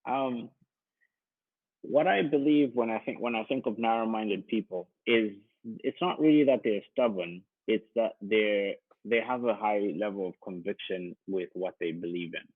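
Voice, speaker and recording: A man's voice: lowish voice, normal speed, husky, dry recording. A man tutoring English in a casual one-to-one online lesson, explaining and encouraging, heard over a narrowband call.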